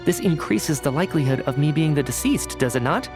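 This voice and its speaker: An actor playing a calm, rational young male scientist with amnesia, speaking in a composed, reasoned, reassuring way in a video game. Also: fairly high pitch, a little fast, music in the background.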